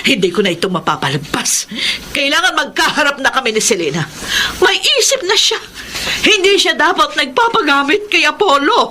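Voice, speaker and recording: High, fiery Filipina aunt. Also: female voice, big pitch swings, normal speed, pushed and bright, slight background noise, exaggerated voice. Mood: outraged. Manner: vehement, declamatory. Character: indignant mayor aunt, wounded, fiery, confrontational. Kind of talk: Tagalog radio drama acting.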